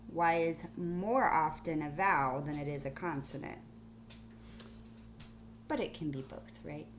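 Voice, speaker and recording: A woman schoolteacher giving a phonics lesson to young pupils on video, explaining deliberately and spelling things out. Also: fairly low pitch, slight background noise. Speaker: primary school teacher.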